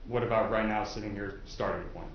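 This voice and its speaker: Low-voiced man in a very echoey courtroom. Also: fairly even intonation, fairly quick. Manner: informal, explanatory, hedging with casual asides. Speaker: prosecutor questioning potential jurors. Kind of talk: courtroom jury questioning, conversational. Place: indoor courtroom. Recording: very echoey courtroom, muffled, faint noise.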